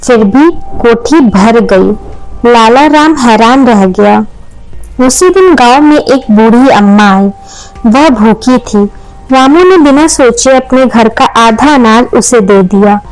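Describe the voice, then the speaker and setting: A woman's voice: fairly high pitch, lively intonation, takes it slowly, a little mellow, soft background music, poor audio. A woman narrating a scripted moral story as a storyteller.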